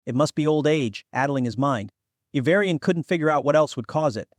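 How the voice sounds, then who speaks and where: A man's voice: a little high, brisk, dry recording, studio quality. A man reading scripted fantasy fiction as an audiobook narrator.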